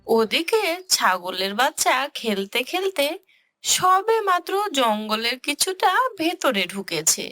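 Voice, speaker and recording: A woman narrating a scripted children's story in an expressive storytelling manner. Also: fairly high pitch, slow. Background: music.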